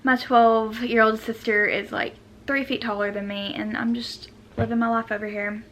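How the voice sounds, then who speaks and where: A woman's voice: a little high, little pitch movement, normal speed, fairly bright tone, slight background noise. A woman, chatting casually and self-deprecatingly as she answers questions in a vlog at home. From Arkansas, United States. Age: 20s. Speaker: vlogger. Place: room at home.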